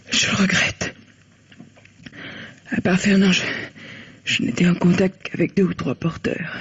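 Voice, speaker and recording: A woman's voice: lowish voice, husky, exaggerated voice. An actress playing a desperate female accomplice in a vintage French radio crime drama, with a dramatic delivery. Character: desperate female accomplice in a drug affair, pleading and threatening. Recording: dull lo-fi old radio recording.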